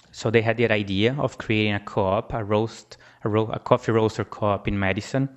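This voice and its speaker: A man from Brazil with a Brazilian Portuguese accent, presenting research at a conference in a halting way, with many restarts and fillers. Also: on the low side, clean tone, highs cut off. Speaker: academic presenter. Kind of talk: conference talk.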